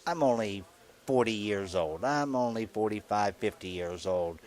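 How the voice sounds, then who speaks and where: A man in his sixties co-hosting a live radio telethon, talking in a halting way with many fillers and restarts. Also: on the low side, a little mellow. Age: 60s. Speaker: telethon co-host.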